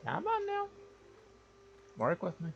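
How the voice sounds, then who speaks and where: A man livestreaming a game with casual, halting chat, often muttering to himself. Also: high-pitched, huge pitch swings, vocal fry, dull audio, faint music behind. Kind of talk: casual gaming stream chat.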